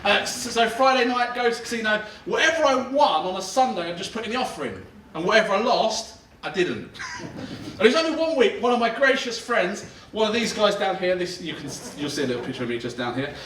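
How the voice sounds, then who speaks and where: A man's voice: high voice, big pitch swings, quick pace, a bit bright, roomy sound, recorded from a distance, a little hiss or hum, low-quality recording. A man in his thirties, a pastor giving a chatty, self-deprecating sermon and laughing as he talks. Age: 30s. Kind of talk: conversational sermon. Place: church auditorium.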